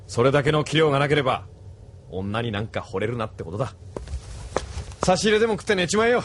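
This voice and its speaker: An actor playing a blunt, rough-spoken male pilot giving a friend frank advice. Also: medium pitch, very animated, quick pace, slight background noise, lightly acted. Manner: blunt, rough casual speech. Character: rough-talking adult male pilot comrade, blunt and worldly.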